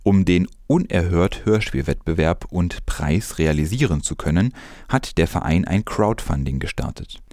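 Deep-voiced man reading the news. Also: expressive, moderate pace, darkish tone, no echo, clean studio sound. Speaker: news presenter. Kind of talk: scripted news narration.

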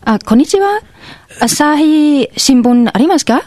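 A woman acts out a polite Japanese neighbour in a live radio play. Her voice is high and closely recorded, with no room sound. She speaks in short, persistent phrases with a Japanese accent. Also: expressive, moderate pace, a little mellow, no echo, studio quality. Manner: short polite phrases, eager affirming interjections.

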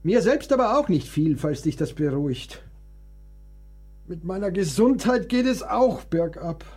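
An actor in a radio play, playing a frail, melancholic composer who is weary, plaintive and self-pitying. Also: male voice, high voice, lively intonation, measured pace, darkish tone, breathy edge, soft background music, heavily acted.

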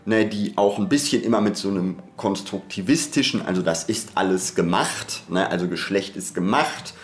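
A man's voice: sits low, moderate pace, faint music behind. A man talking reflectively and theoretically as a podcast host, hesitant and digressive as he searches for words.

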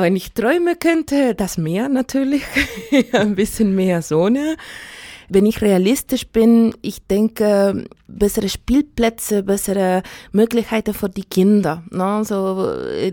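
Chilean woman, middle-aged, non-native German, hesitant and thoughtful, mellow timbre, dry studio. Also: medium pitch, no echo. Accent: Chilean Spanish. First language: Spanish. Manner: thoughtful, hesitant, many fillers. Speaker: interviewee. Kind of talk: casual radio interview.

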